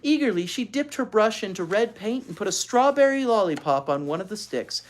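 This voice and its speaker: An expressive storyteller reading a children's book aloud, pausing to explain things to young listeners. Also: mid-range voice. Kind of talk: animated read-aloud with asides to child listeners.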